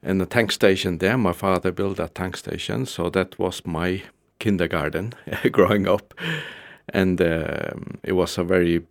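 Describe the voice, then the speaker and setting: A man's voice: low-pitched, steady tone, unhurried, no echo. A middle-aged man from the Faroe Islands, a guest in a studio interview, talking casually with many fillers and restarts. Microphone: studio mic.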